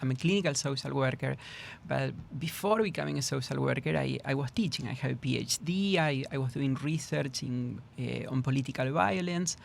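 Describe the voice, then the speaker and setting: Argentine-accented man, gravelly and searching. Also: medium pitch, a little fast, few pauses, slight background noise. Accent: Argentine Spanish. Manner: thoughtful, repeats words as he searches for phrasing. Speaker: guest trauma therapist. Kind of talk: podcast interview.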